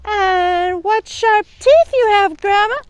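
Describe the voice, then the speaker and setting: A woman voicing a plucky young cowgirl with a high, chirpy way of exclaiming. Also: slow pace, no room sound. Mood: plucky bright.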